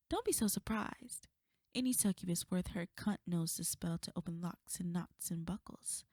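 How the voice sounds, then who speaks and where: A woman playing a sly, teasing succubus in an intimate ASMR roleplay, breathy and often slipping into whispers. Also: medium pitch, warm tone, no room sound. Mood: amused, teasing. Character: sly, seductive female succubus, taunting and teasing. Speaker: audio roleplay performer.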